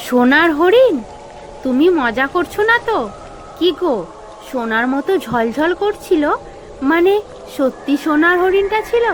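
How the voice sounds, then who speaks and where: A woman playing a caring, fretful village wife in a children's cartoon, expressive and fussing. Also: sits high, measured pace, long pauses. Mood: astonished. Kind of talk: dubbed children's cartoon dialogue. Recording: clearly noisy ambience behind the voice.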